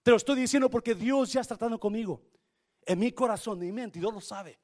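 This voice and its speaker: A male pastor with a Spanish accent, preaching a sermon that switches between Spanish and English and swings from quiet to shouting. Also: extremely high pitch, fast talker. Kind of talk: bilingual sermon.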